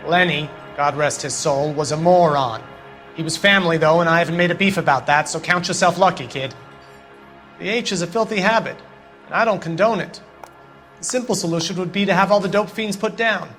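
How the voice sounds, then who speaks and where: An actor playing a calm, menacing mobster boss who talks down to a young detective as "kid". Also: male voice, on the high side, a little fast, soft background music, natural voice. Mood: condescending. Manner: condescending, calls the detective kid.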